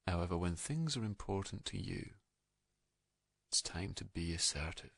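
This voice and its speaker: A man narrating a guided meditation in a calm, gentle, soothing manner, leaving unhurried pauses between soft phrases. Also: low-pitched, gravelly, no room sound.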